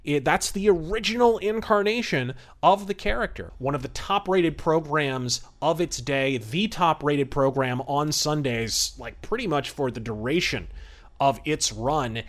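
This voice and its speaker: A man's voice: a little high, measured pace. A man hosting a podcast, giving casual, enthusiastic commentary in an emphatic, conversational way. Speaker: podcast host.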